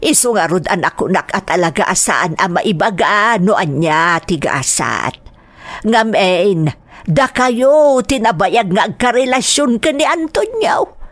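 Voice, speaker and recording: A woman's voice: medium pitch, big pitch swings, a little slow. A woman with an Ilocano accent playing a nosy village gossip in an audio drama, chatty and prying. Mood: surprised, gossipy. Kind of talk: melodramatic audio drama acting.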